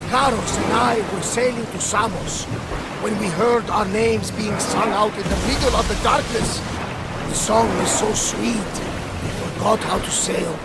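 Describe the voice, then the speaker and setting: High, creaky haunted sailor. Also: male voice, unhurried, loud music behind the voice. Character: haunted Greek sailor telling an eerie sea tale. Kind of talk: dramatic video game storytelling. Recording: very loud sea and boat noise.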